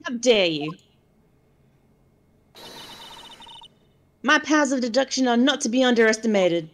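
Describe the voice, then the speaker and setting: A woman's voice: on the high side, expressive, fast talker, a little hiss or hum, close to an everyday voice. A woman playing a haughty, theatrical lunch lady witness who talks down to others in a courtroom game dub. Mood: indignant. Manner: condescending, storytelling. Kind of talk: live fan dub of a courtroom video game.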